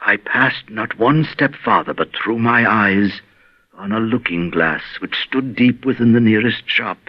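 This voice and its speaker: Casting: male host for eerie stories, deep-leaning husky voice, unhurried, muffled radio sound. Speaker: narrator and program host. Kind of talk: slow macabre scripted narration.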